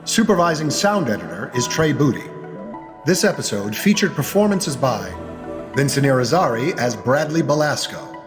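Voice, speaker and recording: Male announcer, dramatic promo and credits read, very lively pitch, clear music underneath. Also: moderate pace. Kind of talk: dramatic scripted narration.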